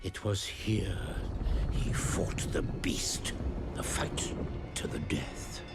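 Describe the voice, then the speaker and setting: A man narrating a roleplay story in a grave, dramatic way, drawing out his words and dropping to hushed tones. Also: deep voice, steady tone. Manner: grave, drawn-out, slipping into hushed near-whispers. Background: music.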